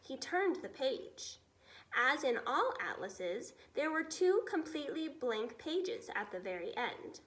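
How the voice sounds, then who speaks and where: A woman reading a children's story aloud in an expressive teacher's storytelling style. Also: high voice, slow pace.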